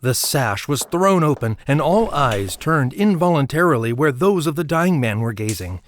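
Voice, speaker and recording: A man narrating a story from a script in a measured storytelling manner. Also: on the high side, sing-song, a little hiss or hum. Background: music.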